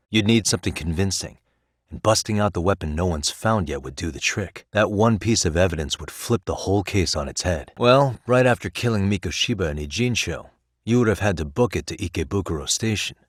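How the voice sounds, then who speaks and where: A man's voice: sits low, slightly rough, no echo. A man with an American accent voicing an easygoing, principled detective in a video game, talking in a dry, wry way as he reasons things through. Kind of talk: video game voice acting, conversational dialogue.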